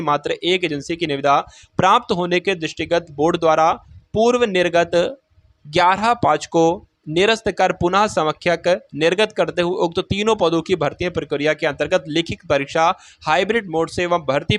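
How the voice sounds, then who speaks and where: Guy with a high voice going through a notice on screen, keeps talking to viewers as friends, dry mic. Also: fairly bright tone, dry recording. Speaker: exam news presenter. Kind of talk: casual explanatory screen walkthrough.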